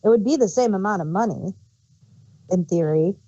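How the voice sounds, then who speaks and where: Mellow woman, dull dry recording. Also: medium pitch, lively intonation, normal speed, breathy edge, no echo. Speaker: podcast co-host reviewing audio dramas. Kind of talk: casual discussion.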